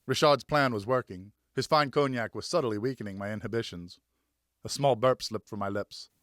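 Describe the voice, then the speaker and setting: A man's voice: medium pitch, moderate pace, fairly bright tone, dry recording, studio quality. A man narrating a first-person story as an audiobook reader, in a scripted, dramatic storytelling style.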